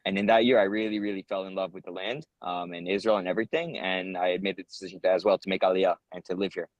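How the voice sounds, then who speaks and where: Fast-talking young American man, many ums. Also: sits low, steady tone, no room sound, muffled recording. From United States. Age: young adult. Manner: earnest, rambling, many ums and uhs. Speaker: interviewee, soldier. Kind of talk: casual interview answers.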